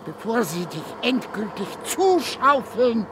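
A man's voice: very high-pitched, very animated, noisy background, heavily acted. An older man playing a dying old goatherd in a radio drama, bitter and fatalistic, with a thin, frail, brooding delivery. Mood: grim, brooding. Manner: slow, brooding, ominous.

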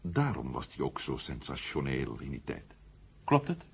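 Provocative ex-astronaut, husky, animated delivery, argumentative, rhetorical, radio play. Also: male voice, a bass voice, normal speed, heavily acted. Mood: insistent. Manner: argumentative, rhetorical, building his case point by point. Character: outspoken ex-astronaut turned maverick writer, male, confident and provocative. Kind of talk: 1970 Dutch radio drama acting. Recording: muffled old radio drama tape.

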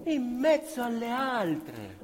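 A man's voice: extremely high pitch, huge pitch swings, full character voice. An actor playing a proud, anxious working man, telling his story as a colloquial theatrical monologue. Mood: hushed, tense. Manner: dramatic, colloquial, with pauses and exclamations. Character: working-class young man fondly recalling his cherished bicycle, proud, anxious. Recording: live violin music under the voice. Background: violin and ensemble music.

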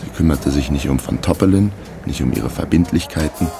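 Very deep, gravelly, deadpan crime narrator. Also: male voice, brisk, music in the background. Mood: laconic. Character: laconic male private fixer narrating his case. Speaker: narrator and protagonist. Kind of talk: first-person radio crime drama narration.

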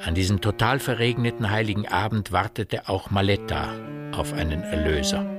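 A man narrating a radio play as a measured, literary storyteller. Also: on the low side, over music.

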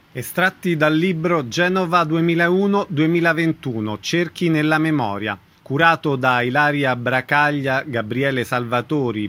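A man's voice: fairly high pitch, a bit bright. Man announcing a radio programme. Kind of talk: scripted programme introduction.

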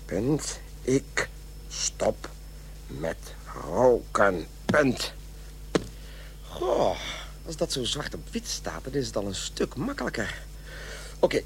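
Gravelly, pompous amateur diarist. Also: male voice, medium pitch, sing-song, slow pace, pauses often, a little hiss or hum. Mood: solemn, self-pleased. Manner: pompous dictation, muttering self-corrections. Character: fussy, self-important henpecked man writing his journal. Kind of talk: radio play monologue.

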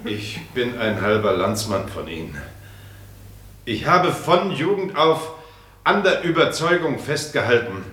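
An actor playing an earnest, pompous small-town mayor giving a declamatory speech in a radio play. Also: male voice, medium pitch, bright, forceful tone, full character voice. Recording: echoey, roomy speech acoustic.

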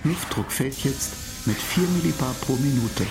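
An actor in a radio play voicing an impassive spaceship computer that makes clipped, monotone technical announcements. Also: medium pitch, measured pace, creaky, over music, low-quality recording. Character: impassive spaceship computer reporting faults and countdowns.

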